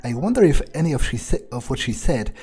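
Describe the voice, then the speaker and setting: A man doing in-character gameplay commentary, thinking aloud in a halting, profane, sardonic mutter with a husky voice. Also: mid-range voice, big pitch swings, warm tone. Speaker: gamer role-playing his character.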